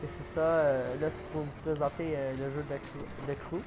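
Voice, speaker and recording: A Québécois man with a head cold talks through a video game in a slightly high, flat voice. His delivery is halting, full of fillers and restarts, with a stuffy, coughing edge throughout. Game music plays clearly beneath him. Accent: Québécois French. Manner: hesitant, many fillers and restarts. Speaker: gaming YouTuber. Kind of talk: casual gameplay commentary.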